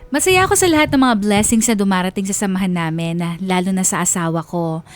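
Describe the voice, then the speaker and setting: A woman's voice: medium pitch, fast talker, natural voice. An actress playing a devoted, wistful wife of thirty-four who narrates her own story in a radio drama. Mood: tender, proud. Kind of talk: dramatized first-person letter narration. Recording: music bed behind the voice.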